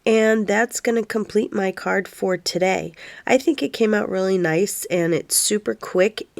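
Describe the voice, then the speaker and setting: A woman with a congested, stuffy voice calmly narrating a card-making tutorial step by step, recorded as a close voice-over. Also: mid-range voice, unhurried, vocal fry, dry recording. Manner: calm step-by-step instructions.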